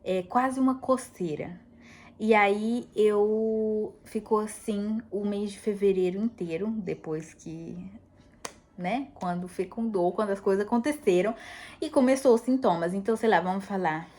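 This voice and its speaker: A woman's voice: medium pitch, unhurried, darkish tone. Chatty woman rambling in a casual vlog. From United States. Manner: chatty, rambling, laughs often. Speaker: vlogger. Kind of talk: casual chat.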